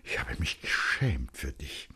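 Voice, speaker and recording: A man's voice: measured pace, pushed and bright. A man voicing a stern, flustered mother in a literary audiobook, scolding her young son in a reproachful, commanding manner. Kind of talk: dramatic literary audiobook acting.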